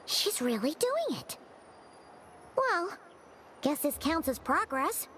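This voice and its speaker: A woman voicing a small, blunt, childlike fairy companion in a video game, squeaky and exclamatory, with music behind her. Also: sing-song, slow, a bit bright, slight background noise, heavily acted. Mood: curious. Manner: exclamatory, emphatic.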